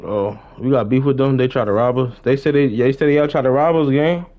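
A man's voice: mid-range voice, fairly even intonation, fairly quick. Young American man streaming, slightly muffled mic. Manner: slangy, repetitive greetings to viewers. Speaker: livestreamer. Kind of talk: casual gaming livestream chat.

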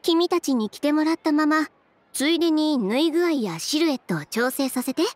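Game casting: confident young woman designer, high and expressive, brisk, dryly frank, no-nonsense. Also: a little hiss or hum. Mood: brisk, assured. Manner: matter-of-fact, decisive, frank. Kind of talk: Japanese anime game voice acting.

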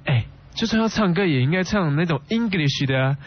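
A man playing a gruff, slow-witted young student in an idol radio drama. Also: fairly high pitch, animated. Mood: irritated. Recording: dull lo-fi audio, faint music.

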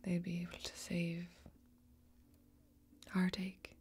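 A young woman playing a grave, weary fantasy warrior in an ASMR role-play, whispering slowly and deliberately in a breathy, brooding way. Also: on the low side, completely flat delivery, darkish tone, exaggerated voice. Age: young adult. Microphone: close microphone.

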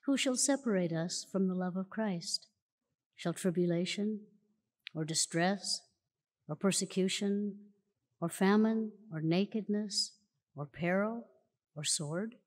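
A woman reading scripture aloud at a church lectern in a measured, solemn way, recorded in a large church auditorium. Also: mid-range voice. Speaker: church reader. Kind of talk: slow formal scripture reading. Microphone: lectern mic from a far camera. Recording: long church hall reverb.

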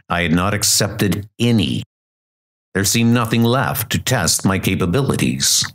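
A man's voice: low voice, fairly bright tone, pitched below the performer's own voice, exaggerated voice. A man voicing a weary, menacing, ageless assassin who speaks tersely and broodingly in a comic dub. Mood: brooding, grave.

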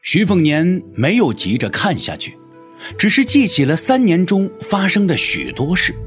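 A man's voice: sits high, sing-song, normal speed, faint music behind. A man narrating an audio drama from a script in a dramatic storytelling style.

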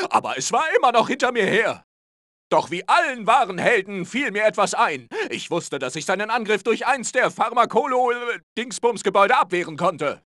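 Pompous cartoon space hero for a video game, high bright voice, bragging and bumbling. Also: male voice, no echo, studio quality, exaggerated voice. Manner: pompous, boastful, stammering when caught out. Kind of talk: theatrical cartoon game acting.

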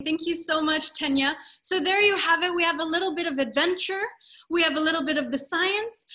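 High, bright young woman hosting online. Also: a little fast. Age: young adult. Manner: effusive, expressive. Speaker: host of a panel talk. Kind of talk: enthusiastic introduction of panelists. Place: dim room at home, indoors. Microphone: video call.

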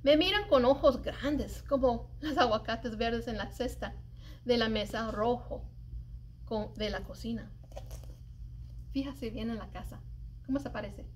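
High-pitched, slow-paced woman reading to children. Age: middle-aged. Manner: animated, deliberate storytelling with drawn-out emphasized words. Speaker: library storyteller. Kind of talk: expressive read-aloud of a children's picture book. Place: indoors in a library children's room. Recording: light background room noise.

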